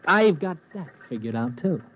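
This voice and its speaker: Dull old radio recording, brash reporter, fairly high male voice, wry storytelling. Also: sing-song, moderate pace, loud music behind the voice. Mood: confident. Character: brash, wisecracking male news reporter narrating his adventure. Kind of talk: old-time radio drama narration.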